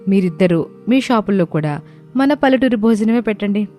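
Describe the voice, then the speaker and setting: Forgiving, motherly elder sister-in-law from the village voiced by a woman, storybook drama, mid pitch. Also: expressive, a little fast, warm tone, soft background music, close to an everyday voice. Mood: kindly, reassuring. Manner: motherly, reassuring.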